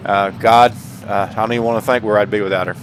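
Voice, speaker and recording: A man's voice: on the low side, steady tone, quick pace. A man hosting a gaming channel chats casually to viewers in an earnest, folksy way, repeating phrases for emphasis. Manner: earnest, heartfelt. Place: indoors at home, paintings behind. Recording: clear background noise.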